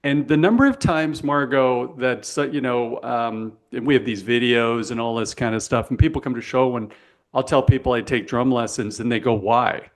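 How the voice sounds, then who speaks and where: A Canadian man hosting a podcast interview, upbeat and chatty, who tells stories from his own life. He keeps affirming his guest as they talk. His voice sits at a middle pitch for a man. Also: moderate pace. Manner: enthusiastic, storytelling, affirming. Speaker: podcast host.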